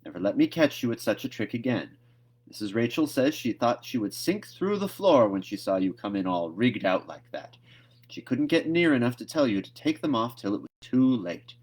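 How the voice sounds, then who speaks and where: A man voicing a stern older woman who scolds a child briskly in a storybook read-aloud. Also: medium pitch, big pitch swings, a little mellow, breathy edge. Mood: exasperated.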